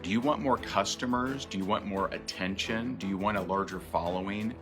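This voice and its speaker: A man's voice: fairly low pitch, a little fast. A man hosting a competition show, telling a personal story to the camera in an earnest, motivational way. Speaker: show host and business coach. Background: music bed.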